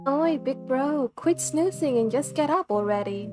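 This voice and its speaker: A woman's voice: high voice, lively intonation, measured pace. A woman voicing a bossy, impatient younger sibling in a visual novel, scolding, with game music behind.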